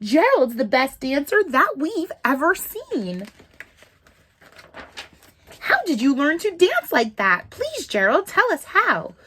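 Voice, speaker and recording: A woman voicing a group of jungle animals in a storybook, calling out in a loud, exaggerated way. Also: high-pitched, wildly swooping pitch, slow, voice pushed up, exaggerated voice. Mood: amazed. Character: crowd of jungle animals, mocking then amazed.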